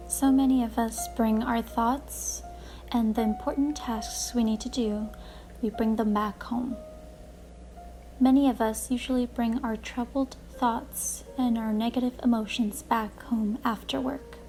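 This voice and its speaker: High-voiced woman guiding relaxation over music. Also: dark tone. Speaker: guided relaxation host. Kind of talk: soothing guided relaxation narration. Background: music playing behind the voice.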